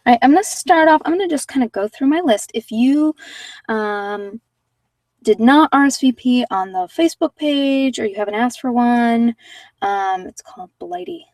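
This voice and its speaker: A woman's voice: a little high, moderate pace, a little mellow, dry recording, recorded from a distance. A woman talking in a casual, conversational way as she hosts an informal tarot card reading. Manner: conversational, informal, anecdotal asides.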